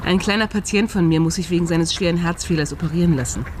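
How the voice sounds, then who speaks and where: A woman's voice: fairly low pitch, quick pace, lightly acted. Wry, practical woman friend, faint noise behind acted. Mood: encouraging. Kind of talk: German radio crime drama acting.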